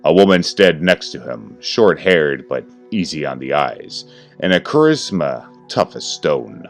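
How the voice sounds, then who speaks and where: A man's voice: very deep voice, big pitch swings, a little slow, vocal fry. A man reading a scripted horror story as its narrator. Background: faint music.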